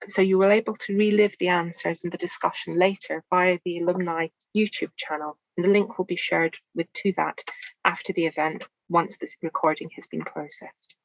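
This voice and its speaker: A woman opening an online panel for an alumni association, reading housekeeping notes in a formal, measured way. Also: on the low side, no echo, poor audio. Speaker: event organiser for alumni association. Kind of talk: scripted housekeeping introduction.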